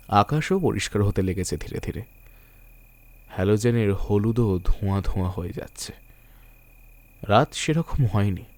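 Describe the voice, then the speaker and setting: Low-pitched male suspense narrator. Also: a little mellow, no echo. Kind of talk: scripted suspense story narration.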